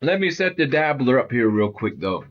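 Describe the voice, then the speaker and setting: A middle-aged man hosting a retro gaming livestream, talking loudly and chatting with his viewers. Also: mid-range voice, very animated, normal speed, muffled recording. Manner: loud, chatty, talks to viewers. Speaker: livestream host. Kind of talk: casual gaming livestream chat.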